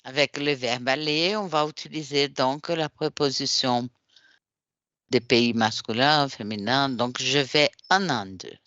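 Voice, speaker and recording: A man's voice: mid-range voice, a little slow, sharp tone, no room sound, highs cut off. A Brazilian man whose first language is Portuguese, teaching a French class and patiently correcting and repeating his students' answers over an online call. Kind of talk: language class drilling questions.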